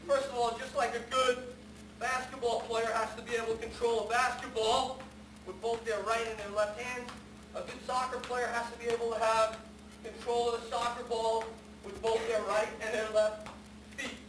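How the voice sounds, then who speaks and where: A man presents a live demonstration. He speaks emphatically and repeats himself for effect. The sound is echoey and far from the microphone, with clear noise behind him. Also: very high voice, level tone, moderate pace. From New York City, the Bronx. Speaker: soccer performer and presenter. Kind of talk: live public talk with demonstration. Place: large room at a press conference. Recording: echoey hall, distant, clearly noisy.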